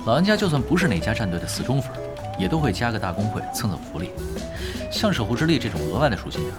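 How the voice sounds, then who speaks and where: A man's voice: mid-range voice, sing-song, close to an everyday voice. An actor in a Chinese audio drama playing a laid-back, shrewd veteran online gamer who teases unhurriedly and commands his raid team. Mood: relaxed, amused. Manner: unhurried teasing in talk, commanding when giving orders. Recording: studio drama with loud music behind.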